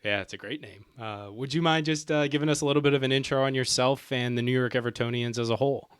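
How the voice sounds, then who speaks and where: A man's voice: mid-range voice, steady tone, fast, smooth, dry recording, studio quality. A man hosting a podcast in a conversational interview style. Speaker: podcast host.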